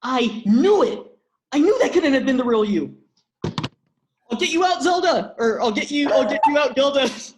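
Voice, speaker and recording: An earnest young human hero, read by a woman in a comedy table read. The voice is slightly high and excitable, with an everyday natural sound. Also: measured pace, noisy background. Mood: frantic.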